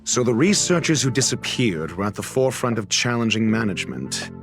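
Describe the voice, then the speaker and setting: An actor playing a courteous, cryptic detective in a video game, speaking in a formal, measured way. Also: male voice, medium pitch, very animated, fairly bright tone. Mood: grave, measured. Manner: formal, measured, theatrical wording. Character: composed, eloquent male detective, courteous and cryptic. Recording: music behind the voice.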